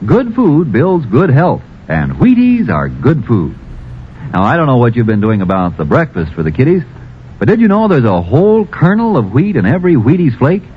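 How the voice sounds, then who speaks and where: Very animated American old-radio ad man. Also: mid-range voice, moderate pace. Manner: folksy, emphatic salesman. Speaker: radio ad announcer. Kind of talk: 1950s radio commercial pitch. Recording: muffled old radio recording, faint noise.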